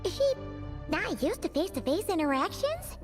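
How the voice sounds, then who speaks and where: A woman voicing a tiny, childlike fairy companion in an anime game, squeaky, chirpy and excitable. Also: moderate pace, over music. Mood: puzzled. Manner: excitable, refers to self by name.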